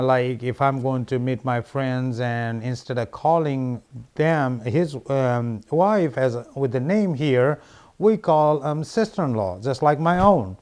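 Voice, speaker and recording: A man's voice: mid-range voice, a little mellow, clean tone. Middle-aged man, Nepali accent, political candidate, earnest and repetitive, plenty of restarts. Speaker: congressional candidate. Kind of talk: interview answers.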